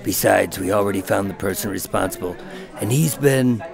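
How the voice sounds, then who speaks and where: A man's voice: on the low side, normal speed, creaky, music in the background. A man acting as a terse, guarded undercover agent in a radio drama, understated in delivery.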